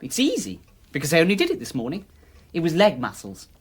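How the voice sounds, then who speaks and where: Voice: mid-range voice, wildly swooping pitch, quick pace, breathy edge. An actor playing a young medical student in a radio drama, casual and offhand. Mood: offhand, recalling. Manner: colloquial, offhand.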